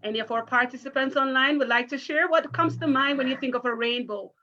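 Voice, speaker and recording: Casting: woman, primary teacher, somewhat high voice, interactive storytime delivery, muffled online-call sound. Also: brisk, bright, forceful tone. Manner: encouraging, careful teacherly address with questions. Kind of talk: interactive children's read-aloud. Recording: muffled video call audio.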